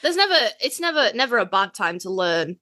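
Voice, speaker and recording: A woman's voice: mid-range voice, big pitch swings, a little fast, pushed and bright, no room sound, studio quality. A woman in a casual podcast discussion, speaking with wry, self-deprecating humour.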